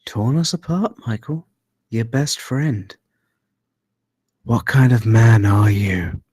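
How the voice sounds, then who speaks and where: An actor at a screenplay table read playing a tense, accusing and skeptical thriller character in an urgent, blunt way. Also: male voice, medium pitch, animated, takes it slowly, darkish tone, breathy edge, no room sound, clean studio sound. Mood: bitter, accusing.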